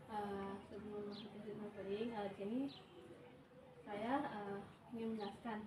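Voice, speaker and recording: A woman's voice: mid-range voice, level tone, normal speed. A young woman playing a counselor in a practice session, speaking hesitantly with many filler sounds. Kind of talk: role-played counseling session. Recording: echoey room, distant mic, noise.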